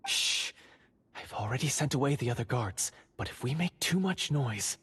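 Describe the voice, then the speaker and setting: A secretive dungeon guard in a game, with a very husky, breathy timbre and an even middle pitch. He talks in a hushed, urgent, earnest way, like someone risking everything to help. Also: normal speed. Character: secretive male dungeon guard, covert hunter ally. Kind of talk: game voice acting.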